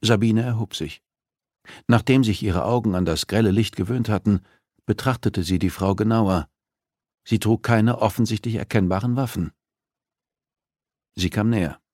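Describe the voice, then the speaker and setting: A man's voice: fairly low pitch, moderate pace, long pauses, darkish tone, no echo. A man reading a thriller audiobook as scripted narration, recorded close in a dry studio.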